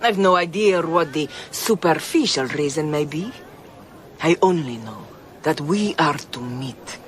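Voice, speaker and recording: An actress in a radio drama playing an enigmatic, scheming noblewoman who speaks in a deliberate, theatrical way. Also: sits low, lively intonation, slight background noise. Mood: brooding, fatalistic. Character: enigmatic, fatalistic foreign noblewoman, scheming and brooding.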